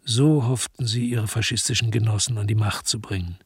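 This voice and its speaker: Weary hard-boiled investigator, deep and husky. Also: male voice, fairly even intonation, brisk, no echo, clean studio sound. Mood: brooding, bitter. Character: weary, disgusted hard-boiled male investigator narrating his story. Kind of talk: German radio drama, first-person narration.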